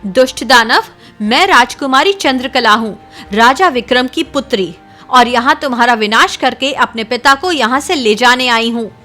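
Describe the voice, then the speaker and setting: A woman's voice: fairly high pitch, animated, normal speed, bright, forceful tone, soft background music. A woman voicing a brave, determined young princess in a cartoon story, speaking firmly and earnestly. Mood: defiant. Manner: firm, earnest pleading.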